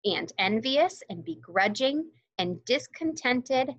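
A woman's voice: on the high side, sing-song, slow pace, fairly bright tone, dry recording, voice pushed up, full character voice, low-quality recording. A woman voicing a proud, supportive father mouse in a storybook, stressing and stretching words. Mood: reassuring.

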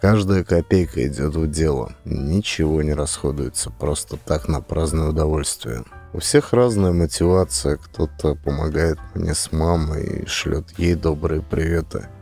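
Deep, creaky-voiced male audiobook narrator. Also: moderate pace, few pauses, dark tone, faint music behind. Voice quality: deep, gravelly. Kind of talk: scripted narration.

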